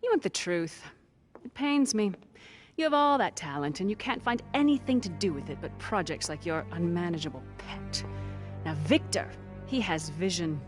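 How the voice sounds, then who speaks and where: A woman's voice: fairly low pitch, lively intonation, moderate pace, lightly acted. An actress playing a smug, coolly commanding female villain in a video game cutscene, haughty and lecturing. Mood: condescending, stern. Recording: music behind the voice.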